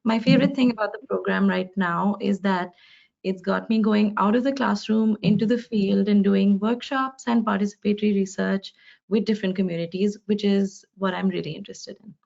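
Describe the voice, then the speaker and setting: A postgraduate design student from Delhi in India speaks with a gravelly, fried edge to her voice. She talks slowly and deliberately. The sound is dull and lo-fi. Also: medium pitch, steady tone, a little mellow. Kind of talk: webinar self-introduction. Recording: dull lo-fi video call audio.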